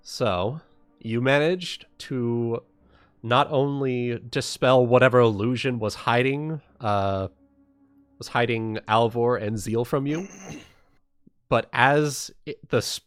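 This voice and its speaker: A man narrating a tabletop game as game master, halting and repeating phrases as he improvises, with faint music behind him. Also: mid-range voice, clean tone, dry recording.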